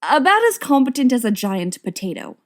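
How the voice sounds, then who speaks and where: Female fiction reader, higher-pitched, expressive narration. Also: fairly quick. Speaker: volunteer audiobook reader.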